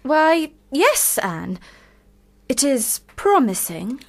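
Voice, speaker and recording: A fairly high-pitched young woman, the bossy eldest sister of a literary family in a radio play. She speaks in formal, precise sentences, earnest and quick to reprove. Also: sing-song, gravelly, full character voice. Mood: grudging, critical. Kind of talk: radio drama acting.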